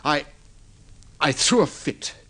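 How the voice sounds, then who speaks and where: A man's voice: a little high, lively intonation, slow, pushed and bright, slightly rough, full character voice. An actor playing an agitated, guilty husband in an old radio drama, pleading earnestly and stumbling over his words. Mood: flustered. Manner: earnest, flustered, hesitant and repeating himself. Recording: slightly muffled vintage radio drama recording.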